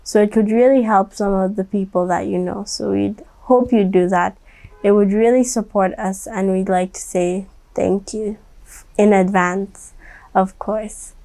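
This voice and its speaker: A woman hosting a children's read-aloud, speaking directly to young listeners in a gently instructive way. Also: mid-range voice, fairly even intonation, a little slow, creaky, poor audio. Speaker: storybook reader and host.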